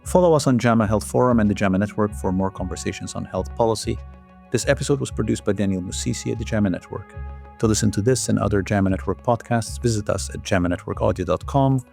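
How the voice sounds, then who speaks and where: A man's voice: fairly low pitch, quick pace, gravelly, a little mellow, soft background music. A man hosting a podcast interview, putting questions to a guest and giving the sign-off. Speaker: podcast host, journal editor.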